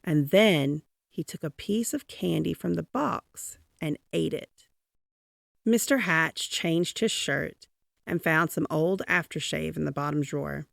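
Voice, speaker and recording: A woman's voice: low voice, a little slow, gravelly, dry recording, studio quality. A woman storyteller narrating a children's picture book expressively, drawing out key words for dramatic emphasis. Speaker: read-aloud storyteller.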